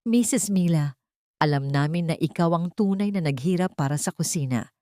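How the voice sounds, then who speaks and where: A woman's voice: fairly low pitch, expressive, moderate pace, warm tone, no room sound, studio quality, lightly acted. A woman voicing a loyal, grateful female restaurant server in an audio drama, earnest and respectful.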